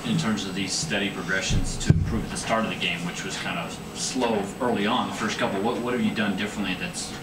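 A man with a fairly low voice asks quick reporter's questions, stumbling into restarts. He sounds far from the microphone in an echoey room. Loud background noise washes over him. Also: steady tone. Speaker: sports reporter. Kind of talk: press conference questions.

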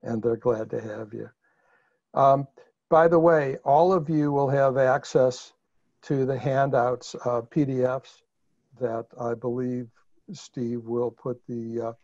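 A man's voice: mid-range voice, steady tone, slow pace, a little mellow, no echo. A man with a Chicago accent presenting a webinar over a video call, talking conversationally with fillers and restarts. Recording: muffled video call audio.

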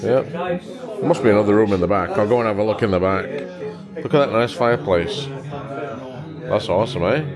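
A man's voice: on the low side, expressive, a little fast, pauses often, music in the background. A man in his forties walking between pubs, filming himself at arm's length and pointing things out in casual chat. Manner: upbeat, pointing things out as he walks. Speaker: travel vlogger. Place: pubs and town streets, indoors and outdoors. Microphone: handheld camera at arm's length.

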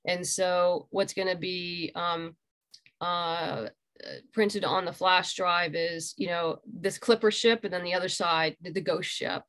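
Lower-pitched middle-aged woman explaining casually. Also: steady tone, slow pace, a bit bright. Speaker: interview guest, graphic novel creator. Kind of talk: casual interview conversation. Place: home room, indoors, on a video call. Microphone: video call computer microphone.

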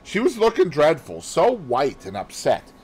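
Man as audiobook housemaid, raised pitch, lively intonation, indignant, respectful, emphatic, plain-spoken. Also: measured pace, breathy edge, full character voice. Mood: earnest, respectful. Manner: emphatic, deferential. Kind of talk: audiobook character voice.

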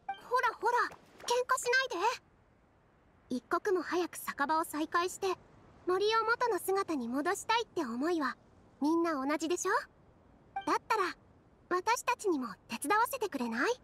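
A woman voicing a gentle, caring young heroine in an anime-style fantasy game. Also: very high-pitched, big pitch swings, moderate pace, pauses often, exaggerated voice. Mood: gentle, persuasive. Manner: gentle, encouraging.

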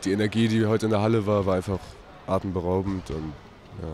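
A young basketball player giving calm, brief answers in a post-game interview, recorded on a handheld mic in an arena. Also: male voice, deep voice, quick pace, a little hiss or hum. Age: young adult. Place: indoor arena.

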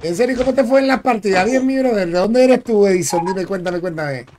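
A man from the Dominican Republic with a Dominican accent, chatting casually while he streams a game, upbeat, greeting viewers by name and repeating his phrases in quick bursts. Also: high-pitched, a little mellow, slight background noise. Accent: Dominican Spanish. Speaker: gaming streamer. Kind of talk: casual live-stream gameplay chat.

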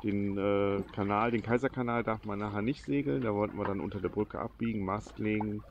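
A man talking casually while sailing, thinking aloud in a relaxed, matter-of-fact way, recorded outdoors on a small boat. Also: on the low side, fairly quick, muffled recording. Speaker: sailing vlogger. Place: outdoors on a small catamaran on open water. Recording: wind and water noise behind.

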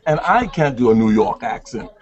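A man's voice: mid-range voice, sing-song, normal speed, lo-fi sound, heavy background noise. A middle-aged American man from Chicago hosting an interview in a chatty, playful way, with frequent restarts. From United States. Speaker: news anchor host. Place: office studio set, indoors.

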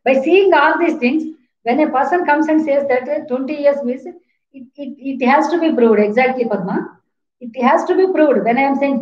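A woman in her fifties with an Indian accent, lecturing an online class in a didactic, repetitive way with questions to students. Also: high-pitched. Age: 50s. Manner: didactic, repeats points, poses questions. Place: small plain room indoors. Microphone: webcam or laptop mic at a distance. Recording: roomy, echoing, dull laptop-mic sound.

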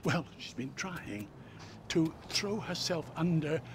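A man's voice: on the high side, expressive, slow pace, a little hiss or hum. An actor playing a fawning, eccentric British man, an obsessive celebrity fan who gushes and stammers, in a comic game cutscene. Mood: awkward affection. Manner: gushing, stammering, awkward. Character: fawning, eccentric middle-aged British male celebrity stalker.

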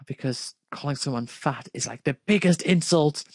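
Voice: mid-range voice, normal speed, creaky, dry recording. A person reading fan fiction aloud casually, with hesitant, dry critical asides. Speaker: fanfic reader and commentator.

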